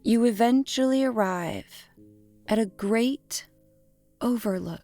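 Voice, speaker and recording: A woman narrating a guided imagery meditation in a gentle, soothing way, in short deliberate phrases with long pauses. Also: a little high. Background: instrumental music.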